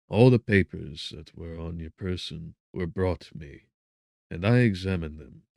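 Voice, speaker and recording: Man voicing a grave, deep-voiced magistrate. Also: vocal fry, a little mellow, dry recording, studio quality. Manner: formal, measured, earnest. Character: benevolent, grave male magistrate, sometimes severe. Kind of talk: audiobook character acting.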